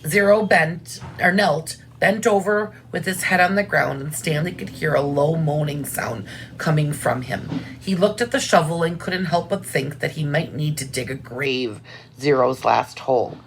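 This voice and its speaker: A woman's voice: sits low, normal speed, vocal fry, slight background noise. A woman reading aloud expressively as a teacher, with simple, informal explanations about what to draw. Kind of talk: expressive read-aloud with drawing asides.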